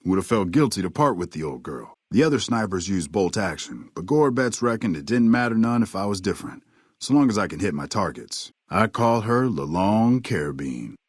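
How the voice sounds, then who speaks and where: A husky, drawling veteran sniper. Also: male voice, on the low side, big pitch swings, no echo, clean studio sound. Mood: laconic, matter-of-fact. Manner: folksy, unhurried, understated. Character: weathered veteran army sniper, courteous, laconic old ex-scout. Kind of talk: video game character dialogue.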